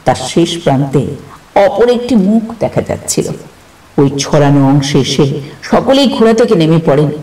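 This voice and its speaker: A man's voice: on the high side, sing-song, moderate pace, mellow. A man narrating a scripted horror story as a storyteller, with suspenseful, theatrical delivery. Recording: roomy, distant sound with music behind.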